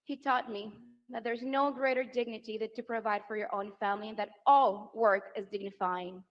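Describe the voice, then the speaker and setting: A high-pitched woman delivers a heartfelt rally speech on a handheld mic. She rises from soft, emotional passages to shouted lines over a big outdoor crowd. The broadcast sound is a little dull. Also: steady tone, normal speed, slight background noise. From Venezuela. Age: 30s. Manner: emotional, rousing, builds to shouted applause lines. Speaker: political spouse introducing candidate.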